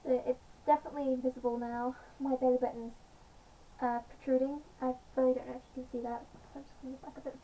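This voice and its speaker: A woman with a slightly croaky voice, chatting casually in a home vlog with many pauses and fillers. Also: high-pitched, flat delivery, muffled recording. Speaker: vlogger. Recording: distant mic, faint background noise.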